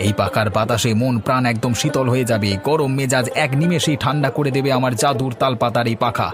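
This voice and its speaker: A man's voice: medium pitch, fairly even intonation, fast talker, close to an everyday voice. A man voicing a patient, hardworking village father and fan seller in a cartoon story, plainspoken and mildly admonishing. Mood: hawking. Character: patient, hardworking village father who sells palm fans. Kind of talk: Bengali cartoon story acting. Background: music.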